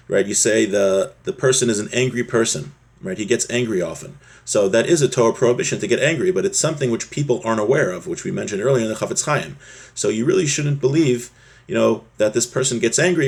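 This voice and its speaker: A man with a husky voice giving an informal religious lesson, explaining a text step by step and often rephrasing his points. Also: lowish voice, darkish tone. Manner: repeats and rephrases, checks in with "right?". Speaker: religious teacher giving a class.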